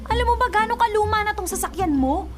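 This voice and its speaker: A woman's voice: very high voice, lively intonation, fast talker, loud music behind the voice. A woman with a Filipino accent playing a hot-tempered, sarcastic young girlfriend in a radio drama, nagging emphatically. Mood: angry, sarcastic.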